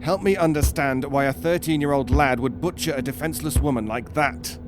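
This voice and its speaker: A man's voice: medium pitch, fairly quick, over music, lightly acted. A man playing an uneasy, stern doctor in an audio horror drama, speaking with formal, clinical control. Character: uneasy, stern British male doctor interrogating a prisoner.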